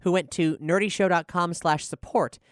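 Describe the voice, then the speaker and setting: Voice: mid-range voice, steady tone, normal speed, no echo, studio quality. A podcast host talking earnestly and articulately in a question-and-answer discussion.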